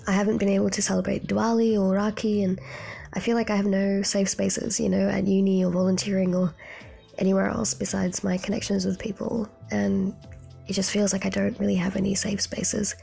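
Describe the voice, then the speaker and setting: A woman's voice: medium pitch, level tone, brisk, vocal fry, warm tone, slightly rough, faint music behind. A young woman, a postgrad student, speaking earnestly in a recorded voice note about hard times. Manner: reflective, earnest. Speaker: postgrad student and community advocate.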